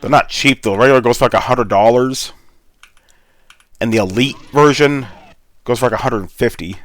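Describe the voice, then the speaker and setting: A man's voice: medium pitch, moderate pace, fairly bright tone, no echo. A congested man with a sore throat, rambling in a laid-back way as he hosts gameplay commentary over a headset mic. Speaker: gaming channel host.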